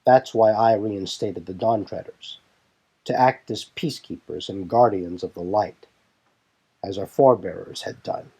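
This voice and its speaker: A man acts out a composed young male leader of a fantasy warrior band. He speaks formally, as if briefing a newcomer, in a husky voice for an audio drama. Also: lowish voice, measured pace, mellow. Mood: grave, explanatory. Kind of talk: fan audio drama dialogue.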